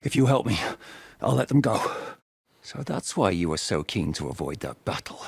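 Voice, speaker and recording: An actor playing a gruff, battle-hardened rebel commander in a video game, barking orders, swearing and mocking his foes. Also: low-pitched, sing-song, normal speed, darkish tone, no echo, full character voice. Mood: commanding.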